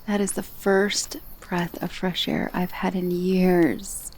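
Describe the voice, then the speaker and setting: A woman's voice: on the low side, little pitch movement, takes it slowly, a little mellow, breathy edge, heavily acted, rough recording. A woman playing a friendly, chatty dullahan, a magical severed head, in an ASMR roleplay with casual, hesitant asides. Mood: relieved, wry. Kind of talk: ASMR roleplay acting, addressing the listener.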